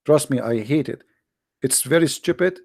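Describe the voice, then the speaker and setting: A man's voice: mid-range voice, animated, normal speed, gravelly, darkish tone, dry recording, clean studio sound. A man with an Arabic accent, a native Arabic speaker, hosting an informal, impassioned monologue in a blunt, emphatic manner with repeated phrases and rhetorical questions. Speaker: host, religious polemicist.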